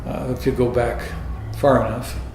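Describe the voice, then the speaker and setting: A man's voice: mid-range voice, little pitch movement, moderate pace, a little mellow, faint music behind. A man from Canada answering interview questions with dry humor. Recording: echoey with faint ambience.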